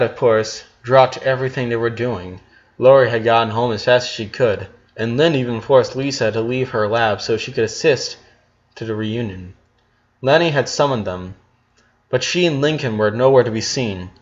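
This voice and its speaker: A man's voice: medium pitch, highs cut off. A man reading a story aloud as narrator in a dramatic audiobook style, now and then stumbling and correcting himself. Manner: occasional stumbles and self-corrections.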